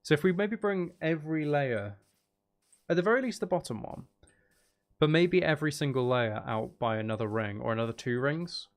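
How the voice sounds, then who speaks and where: A man's voice: a little high, animated, moderate pace. A man chatting casually as he hosts a live gaming stream, with playful, teasing banter aimed at his viewers. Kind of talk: casual gaming livestream chat.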